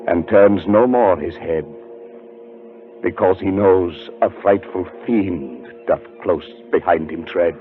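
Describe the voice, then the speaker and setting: A man acting in a 1940s radio drama as a haunted, educated gentleman, telling his story in a formal, deliberate, dramatic way. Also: low voice. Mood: haunted, dread. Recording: muffled 1940s radio recording, music behind.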